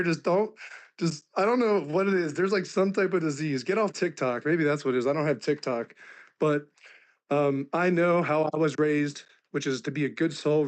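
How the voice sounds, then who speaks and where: A man in his thirties, raspy from laryngitis, talking earnestly on a live stream and saying "like" constantly. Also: a little high. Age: 30s. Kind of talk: casual heartfelt talk.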